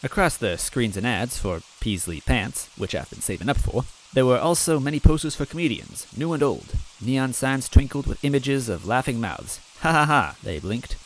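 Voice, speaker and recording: A man reading a first-person story aloud in a soft, wistful, gently comic way. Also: medium pitch, big pitch swings, moderate pace, hardly any pauses, a little hiss or hum, rough recording.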